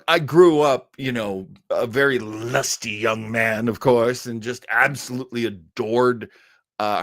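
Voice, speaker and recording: A man's voice: mid-range voice, fairly bright tone, dry recording. Chatty, rambling man with a dry, close sound. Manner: chatty, rambling, effusive with emphatic repeats. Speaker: host of a web show on old time radio. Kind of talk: casual livestream chat, answering audience comments.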